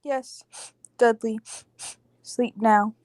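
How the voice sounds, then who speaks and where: A woman playing a timid young woman of a childlike people in a radio play, speaking halting broken English in very short phrases. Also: a little high, steady tone, a little mellow, no echo, clean studio sound. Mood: soothing. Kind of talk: 1940s radio play acting.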